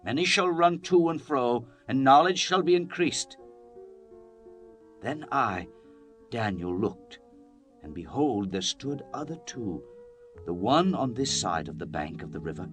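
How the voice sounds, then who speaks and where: A man narrating scripture in a solemn, declamatory style, as in a dramatized Bible reading. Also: medium pitch.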